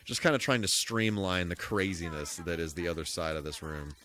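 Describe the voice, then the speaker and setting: Low-voiced man chatting on a game stream. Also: steady tone, brisk, fairly bright tone. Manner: rambling, thinking aloud. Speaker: video game live streamer. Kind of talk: casual live gameplay commentary and chat. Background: music behind the voice.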